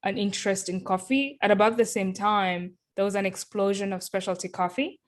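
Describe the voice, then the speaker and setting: A woman from Kenya answering interview questions over a video call, measured and thoughtful, with frequent ums. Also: mid-range voice, fairly even intonation. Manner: thoughtful, many ums and uhs. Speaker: guest, company founder.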